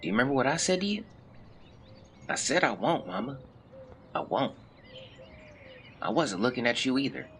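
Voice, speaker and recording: An actor playing a melancholy young man who reads out a confessional farewell letter in measured, formal words, as in an audio drama. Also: mid-range voice, sing-song, fairly bright tone, slight background noise. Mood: tender, sorrowful. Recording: clear birdsong and outdoor ambience behind. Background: birdsong, nature ambience.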